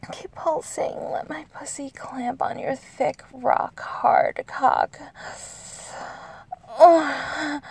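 A woman playing an amorous, eager adult lover in an intimate audio roleplay, speaking to the listener in a breathy, whispery voice. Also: high voice, dry recording, exaggerated voice. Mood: impassioned. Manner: slow, intimate, addressing the listener directly.

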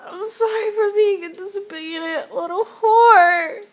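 A woman counting aloud in a roleplay, tearful and whimpering, with breathy laughs and gasps between counts. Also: extremely high pitch, unhurried.

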